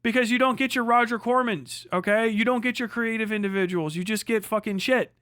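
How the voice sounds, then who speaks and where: A man with a notably high voice delivers unscripted livestream commentary. He is opinionated and emphatic, swearing freely and repeating himself to hammer points home. The sound is close and very dry, with no room echo. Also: fairly quick, a bit bright. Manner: profane, emphatic, repetitive. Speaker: livestream host.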